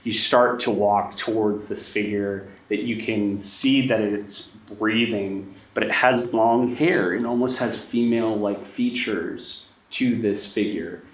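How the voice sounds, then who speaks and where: A man acting as game master, narrating a tabletop horror game to players. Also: on the low side. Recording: echoey room, faint noise.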